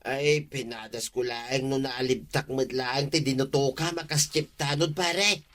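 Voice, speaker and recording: A man's voice: medium pitch, steady tone, a little slow, a bit bright, close to an everyday voice. A man playing a sheepish, excuse-making village thief in an Ilocano comedy audio drama, exclaiming and stammering with an Ilocano Filipino accent. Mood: apologetic.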